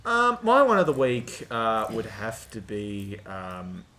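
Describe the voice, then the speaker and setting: A man's voice: fairly low pitch, huge pitch swings, takes it slowly, pushed and bright, slight background noise. A man talking casually as a podcast co-host. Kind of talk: casual chat.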